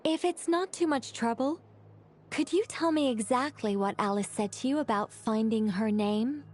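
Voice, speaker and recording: An actress playing a thoughtful, self-assured young woman in video game dialogue, gently teasing as she explains. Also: fairly high pitch, moderate pace, a little hiss or hum, natural voice. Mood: composed, explanatory.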